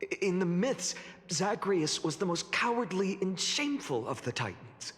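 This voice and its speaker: A man voicing a solemn, weary young hero in a fantasy video game, measured and earnest. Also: high-pitched, very animated, fairly bright tone. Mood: questioning.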